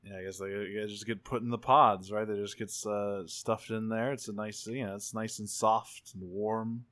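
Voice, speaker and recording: A man's voice: lowish voice, fairly even intonation, normal speed, fairly bright tone. A man playing a tabletop roleplaying game, casually improvising what his character does in an informal, hedging way. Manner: informal, hedging, self-repeating.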